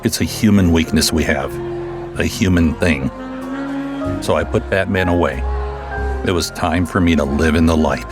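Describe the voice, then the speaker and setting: A man playing a brooding middle-aged billionaire and retired vigilante in an audio drama, speaking gravely and confidingly. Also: low voice, moderate pace, pauses often, over music, natural voice. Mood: dark, confessional.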